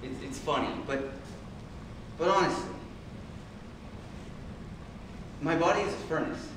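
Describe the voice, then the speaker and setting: A man's voice: a little high, a little fast, voice pushed down, lightly acted. A man acting an anxious, pleading lover, echoing room. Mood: earnest, passionate. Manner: stammering, hesitant, repeating words. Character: anxious, pleading, passionate man in a troubled relationship. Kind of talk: contemporary dramatic stage monologue. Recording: very echoey room, far from mic, faint noise.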